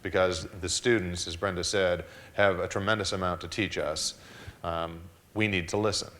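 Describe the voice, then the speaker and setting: A man's voice: sits low, fairly even intonation, moderate pace, fairly bright tone. A man who is a school district administrator, answering a question at a town hall panel in an earnest way, with many restarts and repeated words.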